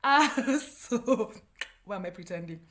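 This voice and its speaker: A woman's voice: medium pitch, lively intonation, takes it slowly, fairly bright tone. A Kenyan woman, a native Kikuyu speaker, reviewing books in a casual, slangy, self-deprecating chat. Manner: chatty, slangy, self-deprecating, breaking often into laughter. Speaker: book review vlogger.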